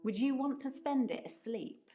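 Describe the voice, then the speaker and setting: An actress voicing a young woman nurse in her twenties in a game, earnest and idealistic, speaking calmly. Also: high voice, normal speed, soft background music, lightly acted. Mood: sincere. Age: 20s.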